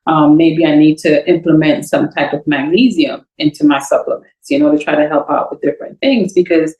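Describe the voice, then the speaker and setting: A woman's voice: deep voice, brisk, darkish tone, reverberant, low-quality recording. A middle-aged woman from Trinidad and Grenada, a podcast guest talking casually and laughing often as she tells her story. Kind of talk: casual interview chat.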